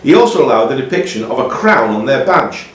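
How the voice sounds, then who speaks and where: A man's voice: mid-range voice, big pitch swings, fairly quick, fairly bright tone. A man hosting a live quiz, reading questions aloud to contestants in a roomy pub space. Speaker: quiz master. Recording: roomy, echoey pub room, slightly distant mic.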